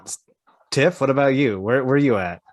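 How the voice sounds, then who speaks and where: A man's voice: medium pitch, moderate pace, no echo, studio quality. A man hosting a casual podcast, easygoing and asking his co-hosts questions. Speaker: podcast host. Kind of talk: casual chat.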